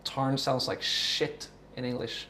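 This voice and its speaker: A man's voice: medium pitch, flat delivery, takes it slowly, sharp tone, roomy sound, a little hiss or hum. A man with a Norwegian accent talking casually in an interview, musing in a rambling, sweary way. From Norway.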